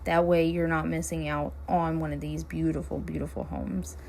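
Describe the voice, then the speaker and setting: A woman realtor, chatting casually while driving, her voice hoarse and scratchy as she loses it. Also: low voice, fairly even intonation, moderate pace, darkish tone. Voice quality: gravelly, hoarse. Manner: friendly, chatty, upbeat sales talk. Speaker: realtor hosting a neighborhood tour channel. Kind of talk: casual driving-tour vlog talk. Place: inside a car, driving.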